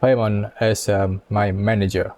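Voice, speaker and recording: A man giving casual, halting playthrough commentary as a game streamer, with joking asides. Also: on the low side, little pitch movement, darkish tone. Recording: music behind.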